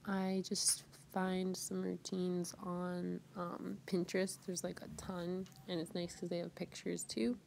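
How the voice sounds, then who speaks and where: A young woman narrating her morning routine in a casual, soft-spoken way while getting over a cold. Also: lowish voice, unhurried, vocal fry, hardly any pauses, slight background noise. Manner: relaxed, soft-spoken, with light laughs. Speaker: vlogger. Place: bedroom at home.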